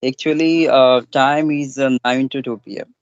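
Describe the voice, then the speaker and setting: A man's voice: medium pitch, fairly even intonation, clear, resonant tone, no room sound. Indian man on a dull, dry line. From Bihar, India. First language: Hindi. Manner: careful, non-standard grammar, halting. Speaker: schoolteacher. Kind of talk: casual conversation practice.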